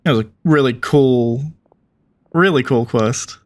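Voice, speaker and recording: A man's voice: mid-range voice, unhurried, slight background noise. A man giving casual commentary as he reacts to a video game story.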